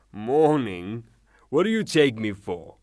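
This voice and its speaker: An actor playing a blunt, hot-tempered creditor in a theatrical audio drama, speaking in a mocking, brusque way. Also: male voice, mid-range voice, sing-song, drawn-out words, darkish tone, no echo, clean studio sound.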